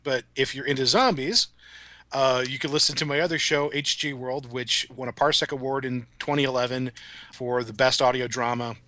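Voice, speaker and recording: A man talks casually as a podcast guest, with a crisp, bright edge to his voice. He is articulate and enthusiastic, like a writer discussing his work. The sound is very dry, with no room around it. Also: mid-range voice, fairly even intonation, normal speed, dry recording. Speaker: guest, writer of audio dramas.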